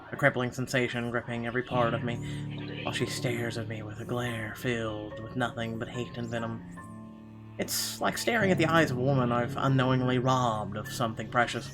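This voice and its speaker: A man reading first-person narration from a game aloud in a dramatic storytelling style. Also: medium pitch. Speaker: gaming channel host reading a visual novel aloud.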